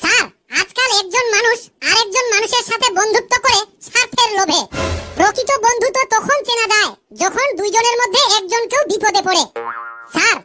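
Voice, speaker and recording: A performer voicing a cheeky cartoon schoolkid who tells comic tales in an earnest, long-winded way. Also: very high voice. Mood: earnest, theatrical. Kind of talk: cartoon comedy dialogue.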